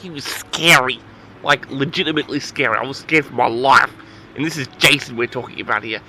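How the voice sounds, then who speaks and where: A man's voice: mid-range voice, a little fast, bright, forceful tone, slight background noise, poor audio. A man telling a story casually as host of an audio log, rambling in an awkward, flustered, exasperated way.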